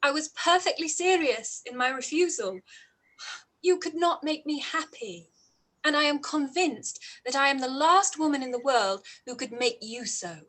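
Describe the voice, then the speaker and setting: An actress playing a spirited young gentlewoman, polite, formal and firm, in a period radio play. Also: high-pitched, lively intonation, moderate pace. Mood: indignant, firm. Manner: formal, composed, emphatic. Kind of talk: period drama live-read radio play.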